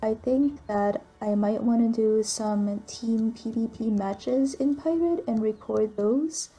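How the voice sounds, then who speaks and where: A soft-voiced young woman talks through viewer questions at her computer, easygoing and unhurried. Her tone is mellow and a little breathy. She is recorded on the built-in microphone of her computer. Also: fairly high pitch. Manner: soft-spoken, relaxed, with frequent ums and likes. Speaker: gaming channel creator.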